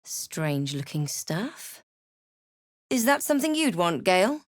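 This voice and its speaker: A woman's voice: lowish voice, sing-song, measured pace, dry recording. Casting: snarky young female adventurer for a fantasy game, scripted delivery, close dry studio sound. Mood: wry, musing. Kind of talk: scripted video game voice lines, narration and spell incantations.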